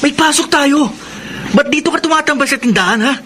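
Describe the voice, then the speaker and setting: Teenage schoolboy, Filipino accent, quick, nagging, insistent, noisy radio drama scene. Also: male voice, extremely high pitch, pushed and bright. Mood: insistent, scolding.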